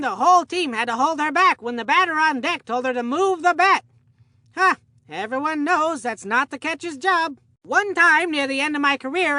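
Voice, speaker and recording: An eager young boy calling baseball for a cartoon video game. The voice is high and bright, with an earnest, folksy, chatty delivery like a seasoned little veteran of the league. The sound is dry and studio-clean. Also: dry recording. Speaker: sports commentator. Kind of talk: cartoon game sports commentary.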